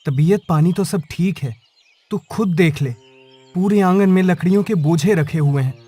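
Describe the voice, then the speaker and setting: Man playing a troubled, higher-voiced village woodcutter. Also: normal speed, mellow, breathy edge, soft background music. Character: troubled, honest village woodcutter, wronged and puzzled. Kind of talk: Hindi cartoon story voice acting.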